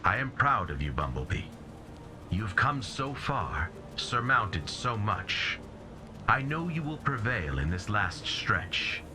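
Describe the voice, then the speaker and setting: A man's voice: on the low side, very animated, music in the background. An actor playing a noble, fatherly robot commander in a video game, solemn and encouraging. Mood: noble encouragement. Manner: solemn, measured phrasing, encouraging. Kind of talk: video game cutscene acting.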